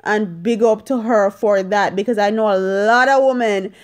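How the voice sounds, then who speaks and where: A young adult woman, talking emphatically and deliberately in a casual opinion vlog, repeating phrases for stress. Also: mid-range voice, clear, resonant tone. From Jamaica. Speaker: vlogger. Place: small indoor room at home. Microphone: phone at arm's length.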